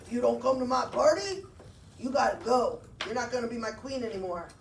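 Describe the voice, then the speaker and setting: Woman as a bossy, animated puppet king. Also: a little high, a little fast. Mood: demanding. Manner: blunt, emphatic. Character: dim, bossy, party-loving male king. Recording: roomy, distant mic, faint noise.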